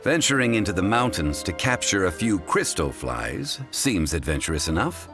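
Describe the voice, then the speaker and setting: A man voicing a composed, courteous, scholarly gentleman in a video game, speaking in measured, formal, old-fashioned phrases. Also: deep voice, very animated, over music. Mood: calm, instructive. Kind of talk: scripted video game dialogue.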